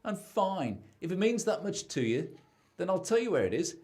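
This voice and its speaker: Young man playing British husband, stressed, secretive, highish voice, swinging intonation, amateur radio drama. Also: very animated, normal speed, darkish tone. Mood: gloomy confession. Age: young adult. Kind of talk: amateur radio play acting.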